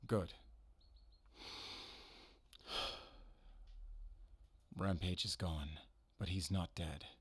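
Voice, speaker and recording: A man acting in an audio roleplay as a guarded, middle-aged werewolf hunter, weary and stern yet gentle, speaking in a measured, earnest way. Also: sits low, fairly even intonation, husky, dry recording, heavily acted. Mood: solemn, gentle.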